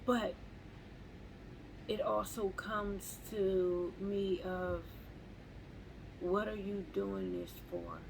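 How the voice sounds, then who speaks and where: A woman talking slowly and haltingly in a reflective live journal at home, often repeating words as she searches for them. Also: mid-range voice, lively intonation, gravelly, background noise. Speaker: vlogger.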